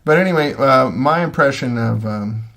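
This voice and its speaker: Livestream host: a middle-aged Midwestern man with a gravelly voice, loose and rambling, lots of ums. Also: medium pitch, animated, moderate pace, fairly bright tone. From Missouri, USA. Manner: rambling, many ums and restarts. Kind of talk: casual chat, answering viewer comments. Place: home.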